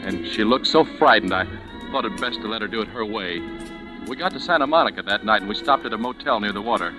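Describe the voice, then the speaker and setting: An actor playing an anxious, protective young man from California in a 1940s radio drama, plain-spoken and halting, with music underneath. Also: lowish voice, expressive, close to an everyday voice, rough recording. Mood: somber, regretful. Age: young adult. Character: anxious, protective young Californian brother telling his story.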